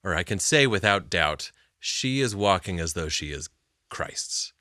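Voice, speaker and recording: Lively, animated church podcast man. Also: sits low, unhurried, dry recording, clean studio sound. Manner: animated, laughs often, many yeahs. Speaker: co-host of church midweek chat. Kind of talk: casual faith conversation.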